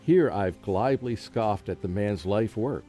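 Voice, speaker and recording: A man's voice: on the low side, a little slow, mellow, natural voice. A man playing a skeptical, scholarly British scientist in a radio drama, rational but troubled. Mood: dismissive, puzzled. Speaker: actor and first-person narrator. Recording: faint music behind a student radio play.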